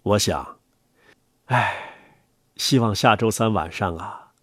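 A man reading a children's story aloud in a gentle, animated storyteller voice, taking part in a family reading for young listeners. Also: on the low side, slow pace, a little hiss or hum.

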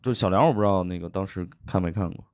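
A man hosting a podcast in relaxed, agreeable conversation, filling gaps with quick affirmations. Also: sits low. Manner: easygoing, agreeable, many affirming fillers. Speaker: podcast host. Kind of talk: casual podcast chat.

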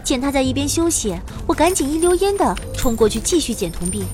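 A playful young female mage in a fantasy audio drama. Her bluffing, bouncy voice is high and very animated, with music playing behind it. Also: brisk. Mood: narrating adventure. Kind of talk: audio drama acting with first-person narration. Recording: background music under the voice.

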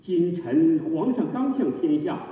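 A man's voice: a little high, expressive, measured pace. A man playing a composed, tactful court minister in a radio drama, speaking in a formal, oratorical way. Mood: respectful, persuasive. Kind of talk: Chinese period radio drama acting. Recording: tinny echoing hall, from far off.

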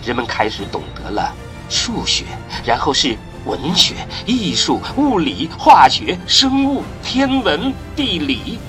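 A man giving expressive, dramatic scripted narration for a radio drama, phrased with deliberate pauses. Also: a little high. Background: music.

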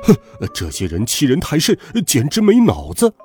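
Storybook father, voiced by a man: protective, excitable, urgent, rough-edged voice with very animated pitch. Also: fairly quick, soft background music, full character voice. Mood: indignant. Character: boy hero's father, small hidden helper, anxious, protective.

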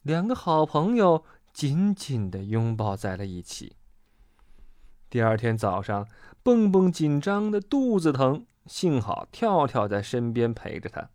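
A storyteller narrating a children's storybook in an expressive, dramatic way. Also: mid-range voice, unhurried, dry recording, clean studio sound.

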